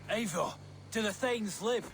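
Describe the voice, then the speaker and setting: A man's voice: high-pitched, unhurried, noisy background. A man playing a blunt, earthy Saxon rebel leader in a video game cutscene, plain-spoken and colourful. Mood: urgent.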